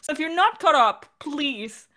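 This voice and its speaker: A woman hosting a casual podcast Q&A. Her voice sits a little high and has a bright edge. She speaks informally, as in a chat between friends. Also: animated, a little slow. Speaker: podcast host, director.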